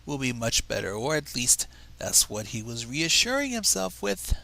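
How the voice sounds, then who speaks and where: A man's voice: medium pitch, big pitch swings, normal speed, gravelly, pushed and bright. A man reading a story aloud in a dramatic, wry manner, slipping into casual asides between narrated passages. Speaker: livestream fanfic reader.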